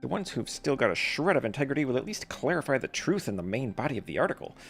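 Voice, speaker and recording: A man's voice: medium pitch, very animated, quick pace, soft background music. A man voicing a chatty young female reporter in a video game, with a breezy, dramatic manner. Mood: earnest. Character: young female tabloid-savvy reporter, chatty, theatrical, earnest.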